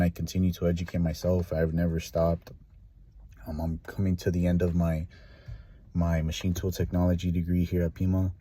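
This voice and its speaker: Deep, husky man speaking earnestly. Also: warm tone, no room sound. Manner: earnest, with frequent fillers. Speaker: machinist interviewee. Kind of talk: casual interview answer.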